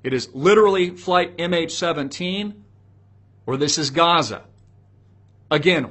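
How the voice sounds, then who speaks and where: A man's voice: on the high side, a bit bright. A man giving opinionated solo political commentary to viewers, speaking in an emphatic, preachy way with repeated rhetorical questions.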